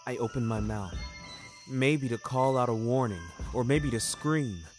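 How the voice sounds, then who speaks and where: A man's voice: mid-range voice, measured pace, soft background music. A young man reading scripted first-person fiction, carefully phrased, in a literary and dramatic manner. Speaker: narrator and author of a fiction podcast.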